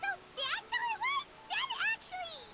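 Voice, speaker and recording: Tiny squeaky anime game fairy girl, super high n bouncy, chatty n excitable, noisy background. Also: moderate pace. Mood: surprised, relieved. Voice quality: squeaky, childlike. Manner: refers to self in third person, exclamatory. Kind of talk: anime fantasy game voice acting.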